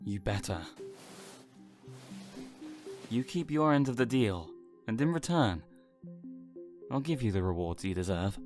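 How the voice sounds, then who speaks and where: A man's voice: fairly low pitch, expressive, measured pace, pauses often, darkish tone, soft background music. A man playing a blunt, irritable and protective uncle in an audio drama. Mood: stern. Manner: blunt, exasperated. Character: irritable, protective uncle, gruff and worried, swears freely.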